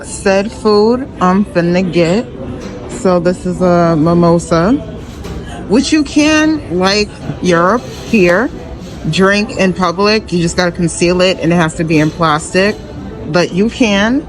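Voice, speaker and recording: A woman's voice: fairly low pitch, slow pace, music in the background, low-quality recording. A woman giving casual travel vlog commentary in a blunt, slangy, profane way. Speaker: travel vlogger and podcast host.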